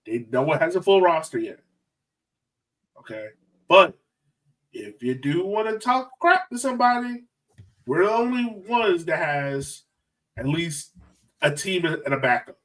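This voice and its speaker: A man's voice: a little high, measured pace, long pauses, a bit bright, distant mic, poor audio. A man hosting a casual sports fan podcast, talking in an informal, slangy, joking way. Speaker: podcast host.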